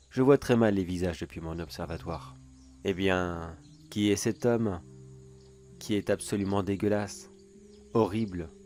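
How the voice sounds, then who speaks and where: A man's voice: deep voice, a little mellow, a little hiss or hum, close to an everyday voice. A man voicing an obsessive male watcher who spies from his observatory and protects his brother, speaking in a theatrical, gloating, teasing way. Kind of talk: horror story character monologue.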